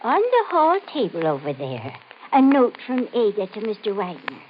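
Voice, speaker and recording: An actress in an old-time radio mystery playing a nervous, insistent, ominous woman with a halting, pleading, melodramatic delivery. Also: mid-range voice, huge pitch swings. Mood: uneasy explaining. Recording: muffled, hissy old radio recording.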